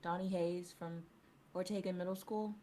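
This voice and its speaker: A young woman playing a nervous, haunted old schoolmate, halting and hesitant, in a dramatic script reading. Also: fairly low pitch, fairly even intonation, mellow, a little hiss or hum, close to an everyday voice. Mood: awkward, nervous. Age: young adult.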